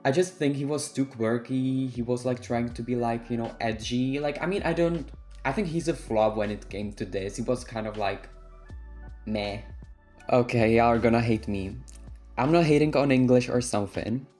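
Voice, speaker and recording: A young man with a Czech accent rants in a sassy, offhand way and waves things off with slang. His voice sits at an ordinary middle pitch, and soft music plays faintly behind him. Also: moderate pace. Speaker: vlogger. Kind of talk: casual opinion rant.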